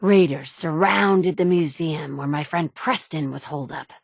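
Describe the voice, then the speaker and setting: A woman's voice: sits low, unhurried, gravelly, husky, no echo, heavily acted. A woman voicing the player character in a video game, a capable survivor who talks in a direct, firm way. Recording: dry studio recording.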